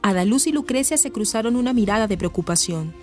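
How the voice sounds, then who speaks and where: A woman's voice: mid-range voice, expressive, quick pace, natural voice. A woman narrating a serious radio soap opera from a script. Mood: serious storytelling. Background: music.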